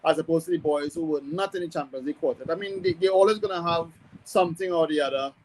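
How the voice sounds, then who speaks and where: A man giving conversational sports analysis as a guest, hesitant and measured as he thinks aloud. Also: a little high, a little mellow, rough recording. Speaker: football pundit guest.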